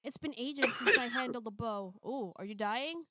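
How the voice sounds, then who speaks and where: A woman's voice: fairly high pitch, normal speed, pushed and bright, slight background noise. A woman live-streaming, reading a visual novel aloud and chatting casually between passages.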